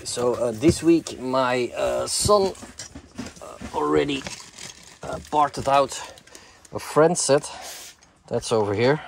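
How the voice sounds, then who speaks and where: Low-voiced man rambling while working. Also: creaky, slight background noise. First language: Dutch. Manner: rambling, many fillers and restarts, muttering to himself. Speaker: online brick store owner. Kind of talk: casual chat while working. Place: cluttered attic storeroom, indoors. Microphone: body-worn camera.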